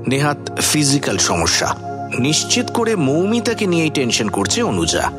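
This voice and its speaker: A man narrating a suspense story for a radio drama as a wry, composed storyteller with expressive delivery. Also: mid-range voice.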